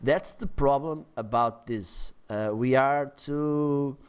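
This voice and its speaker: A man's voice: mid-range voice, clean tone. A man who is not a native English speaker, chatting casually, searching for words and acting out little exchanges as he explains. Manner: halting, searching for words, acts out short dialogues. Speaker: marketing trainer. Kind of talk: casual conversational talk.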